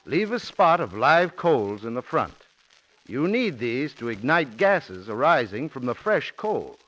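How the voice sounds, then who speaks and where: A man's voice: mid-range voice, big pitch swings, a little slow, fairly bright tone. A man giving a scripted, folksy instructional advertising talk in 1940s radio style. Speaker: sponsor's home heating spokesman. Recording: dull, muffled 1940s radio recording.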